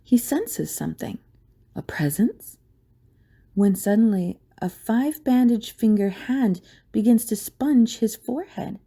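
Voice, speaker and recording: A woman's voice: on the high side, lively intonation, a little slow, creaky, dark tone, slightly rough. A woman narrating a scripted audio drama in a dramatic storytelling style.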